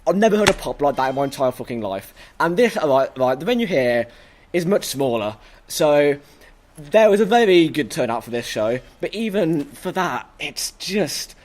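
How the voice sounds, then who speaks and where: A hoarse man hosting a casual review chat, excitable and full of stammering restarts. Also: a little high, normal speed, slight background noise. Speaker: host.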